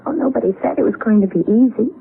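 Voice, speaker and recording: Practical upbeat young wife, 70s radio drama, thin tinny old recording. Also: female voice, on the high side, fast talker, gravelly, slightly rough, heavily acted. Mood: hopeful, chiding. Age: young adult. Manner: optimistic, lightly chiding. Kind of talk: 1970s radio drama acting.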